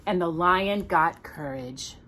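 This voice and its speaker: A woman telling a children's story in an expressive, playful way, with casual asides. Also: fairly low pitch, takes it slowly.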